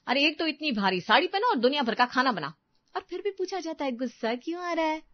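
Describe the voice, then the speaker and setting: A woman's voice: fairly low pitch, animated, normal speed, no echo, lo-fi sound, natural voice. A woman voicing a young daughter-in-law, martyred and self-important, grumbling with sarcasm in a family story. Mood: sarcastic anger. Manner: sarcastic, put-upon grumbling. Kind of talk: Hindi family story acting.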